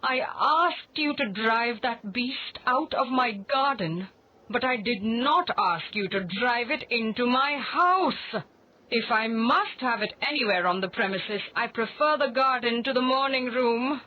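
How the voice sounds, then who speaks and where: Shrill indignant lady, as a man puts her on. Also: animated, unhurried, exaggerated voice. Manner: scolding, shrieking falsetto. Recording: dull lo-fi recording.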